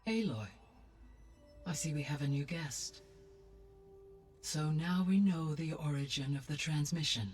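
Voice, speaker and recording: A woman acting a wise female artificial intelligence, composed, deliberate and precise, in a video game cutscene. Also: low-pitched, darkish tone. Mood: composed, analytical. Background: faint music behind the voice.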